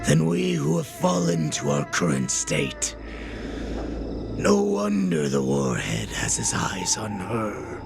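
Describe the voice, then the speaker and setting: An actor voicing a proud, defiant wolf-beast warrior in a video game, with a gravelly, breathy growl and a solemn manner. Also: male voice, low voice, huge pitch swings, heavily acted. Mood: grim awe. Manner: slow, solemn, menacing. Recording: music behind the voice. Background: game music.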